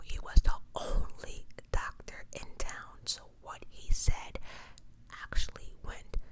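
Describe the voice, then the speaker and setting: The voice never rises out of a full, unvoiced whisper. It belongs to an ASMR creator recounting true crime in a conversational way, stepping aside to comment casually and restating points for emphasis.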